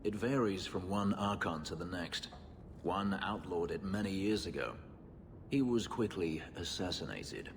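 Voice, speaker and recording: A man voicing a brooding, bitter warrior in a video game, speaking in a measured, grave, dryly sardonic way. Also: sits low, expressive, in a large hall, background noise. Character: brooding, bitter male warrior from a slave-keeping empire.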